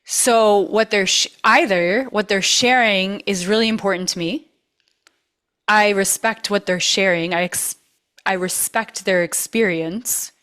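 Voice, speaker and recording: A woman's voice: medium pitch, a little slow, fairly bright tone. A woman with a trained voice, hosting a masterclass and coaching in a casual, earnest way, leaning hard on repeated words. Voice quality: vocally trained. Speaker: masterclass host.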